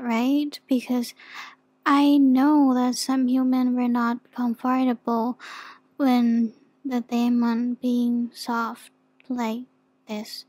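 A woman playing a shy, flustered young demon in an ASMR roleplay, with a soft, sometimes whispery voice and a hesitant, stammering manner. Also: fairly high pitch, no room sound. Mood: shy, gentle.

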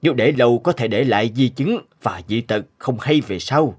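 A man's voice: mid-range voice, normal speed, slightly rough, lightly acted. A man voicing a serious, instructive middle-aged doctor in a cartoon dub. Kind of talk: cartoon dubbing.

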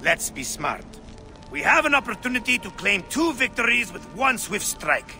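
A man's voice: high voice, very animated, normal speed, bright, forceful tone, faint music behind, full character voice. An actor playing a fervent, vengeful male rebel leader in a video game, speaking emphatically, as if plotting. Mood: resolute, plotting. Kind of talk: dramatic game cutscene dialogue.

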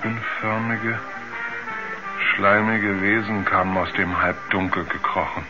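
An actor playing a shaken astronaut in a radio drama, speaking haltingly and repeating himself as if dazed. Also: male voice, on the low side, steady tone, over music. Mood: dazed, haunted. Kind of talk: 1970s German radio drama acting. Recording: dull vintage radio play sound.

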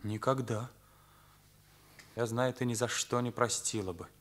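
Man acting an earnest teenage son, bright forceful voice. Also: mid-range voice, steady tone, unhurried, close to an everyday voice. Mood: tender, probing. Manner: accusing, emphatic, morally rigid. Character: earnest, indignant teenage son confronting his parents. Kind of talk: Soviet radio play acting.

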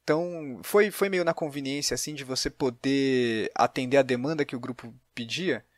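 A man's voice: mid-range voice, normal speed, no room sound. A man with a Brazilian accent hosting a casual podcast interview. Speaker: podcast host.

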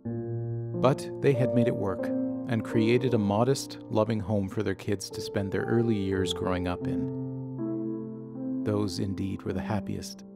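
A man narrates a scripted drama podcast in a measured, storytelling way. His voice sits on the low side with a clear gravelly fry. Music plays clearly underneath the narration. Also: steady tone.

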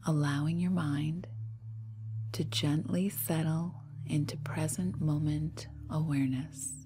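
A woman leading a guided meditation in a soft, breathy, half-whispered voice, soothing and unhurried, leaving long pauses. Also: deep voice, fairly even intonation. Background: meditation music.

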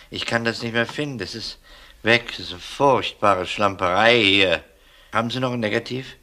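Middle-aged detective, deep, forceful, methodical, probing, polite, crime radio play. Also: male voice. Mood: affable, sly. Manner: measured, probing, outwardly courteous. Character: shrewd, methodical male police detective.

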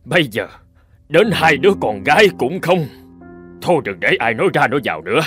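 Man acting a stern, animated cartoon father. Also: medium pitch, a little fast. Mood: bitter, resigned. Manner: commanding, emphatic, scolding. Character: stern, stubborn middle-aged Vietnamese village father. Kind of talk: animated cartoon drama dialogue. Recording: music behind the voice.

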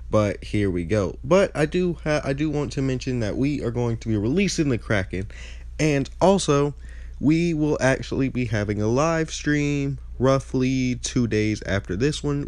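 A man's voice: medium pitch, a little mellow, dry recording. A young man hosting a gaming channel, chatting casually with a nasal, stuffed-up voice and often losing his train of thought. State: congested. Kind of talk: casual chat over gameplay.

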